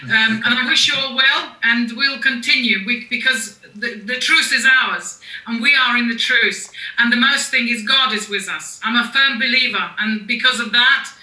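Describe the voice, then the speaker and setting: A woman's voice: a little high, normal speed, few pauses, bright, forceful tone. A woman whose first language is Latvian, interpreting live on a video call, hesitant and full of fillers. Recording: echoey distant video call audio.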